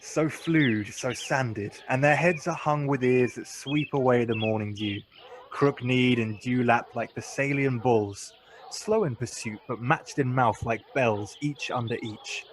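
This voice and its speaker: Noble duke played by a man, mid-pitched, authoritative and grand, radio Shakespeare. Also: measured pace, lightly acted. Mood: proud. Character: noble duke, commanding, genial, proud. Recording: faint noise with birdsong outdoor ambience.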